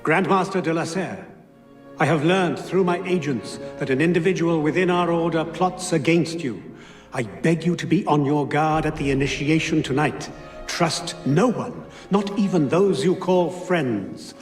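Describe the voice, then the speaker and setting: A man's voice: a little high, measured pace, lightly acted. A man playing a grave informant voicing a letter of warning in a video game. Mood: grave, urgent. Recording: echoing long reverb, faint music.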